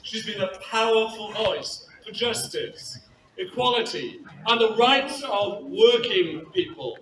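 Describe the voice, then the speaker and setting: A man acting as event organiser, giving a measured, formal introduction of a guest speaker. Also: sits high. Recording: echoey distant capture with background noise.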